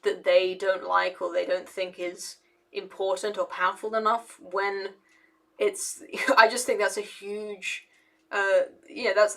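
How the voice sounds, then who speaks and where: A woman's voice: mid-range voice, vocal fry, a bit bright. A young woman, an engineer, giving casual commentary to camera in a hesitant, rambling way with many fillers and restarts. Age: young adult. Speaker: engineer and video essayist.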